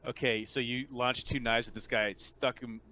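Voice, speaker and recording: A man running a tabletop roleplaying game as game master, narrating casually and thinking aloud in a halting way. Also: mid-range voice, fairly even intonation, pushed and bright, low-quality recording.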